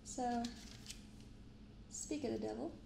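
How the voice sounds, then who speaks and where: A young adult woman speaking softly and unhurriedly in a hushed ASMR style as she hosts a casual show-and-tell. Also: on the high side. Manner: hushed, unhurried reading aloud and chatting. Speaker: YouTube card-opening host. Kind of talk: soft-spoken ASMR, casual commentary. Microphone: close binaural microphone. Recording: roomy sound with clear background noise.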